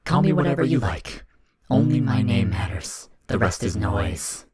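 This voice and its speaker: A man voicing a cold, mocking, demanding child of darkness in an audio story, speaking in formal, taunting, deliberate phrases. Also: low voice, big pitch swings, music in the background. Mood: cold, defiant. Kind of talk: dramatic audio fiction character reading.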